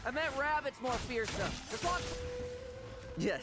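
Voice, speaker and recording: A man's voice: extremely high pitch, very animated, unhurried, loud music behind the voice, exaggerated voice. A man playing a cocky, breezy young fighter in a video game, teasing and mocking as he talks. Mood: cocky, scornful.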